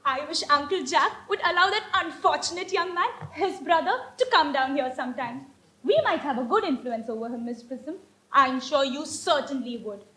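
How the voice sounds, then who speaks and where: A woman's voice: high voice, moderate pace, pushed and bright. A woman playing a romantic, pertly innocent young Victorian ward in a stage comedy, with an ingenuous, theatrical manner. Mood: dreamy, playful. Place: live stage play. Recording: echoey stage play recording.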